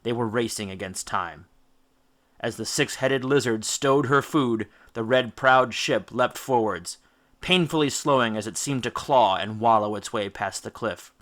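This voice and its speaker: Bright, forceful male storybook narrator. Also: medium pitch. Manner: theatrical, emphatic, with deliberate dramatic stresses. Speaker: audiobook narrator. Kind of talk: dramatic scripted storybook narration.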